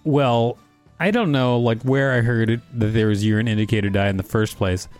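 A man co-hosting a podcast, chatting casually and reading an article aloud with wry, unhurried banter. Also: mid-range voice, darkish tone, soft background music. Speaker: podcast co-host.

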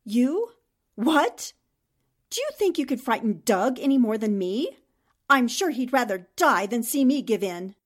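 A woman playing a defiant, sharp-witted young newspaperwoman held captive, speaking firmly and dramatically in old-time radio drama style. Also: fairly high pitch, very animated, measured pace. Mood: guarded, defiant.

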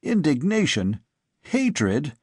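A man's voice: fairly high pitch, wildly swooping pitch, slow, darkish tone, husky, dry recording, clean studio sound, pitched above the performer's own voice, exaggerated voice. A man voicing an earnest, agitated young man who pleads insistently with his father.

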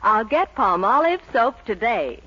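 Female jingle reader, vintage radio ad, lilting, very animated, husky, muffled recording. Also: fairly high pitch, unhurried. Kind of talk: 1940s radio advertising jingle, lilting rhymed delivery.

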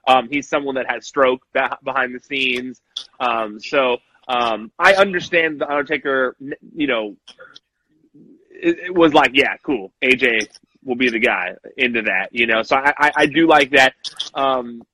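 A young man co-hosting a casual wrestling podcast, animated and quick to act out lines he quotes. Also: medium pitch, no echo, rough recording. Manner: animated, acts out quotes in voices. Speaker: podcast co-host.

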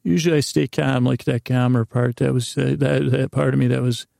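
A man hosting a bedtime podcast in a creaky, soft-edged drawl, rambling along in a halting, silly, meandering way and often interrupting himself. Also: medium pitch, fairly even intonation, dry recording, studio quality. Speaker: podcast host.

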